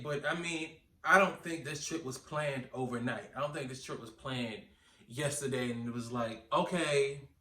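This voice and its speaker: A man with a Southern US accent giving casual, chatty commentary as a reviewer, animated, sassy and opinionated, often posing rhetorical questions. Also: mid-range voice. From Georgetown, South Carolina, United States. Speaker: reality TV recap reviewer. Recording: roomy echo, distant from the mic.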